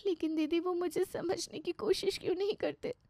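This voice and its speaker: A woman puts on a hurt young wife for a dramatised moral story. Her voice is very high, plaintive and earnest, full of emotion. The recording is close and dry. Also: fairly even intonation, normal speed, warm tone, no echo. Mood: pleading.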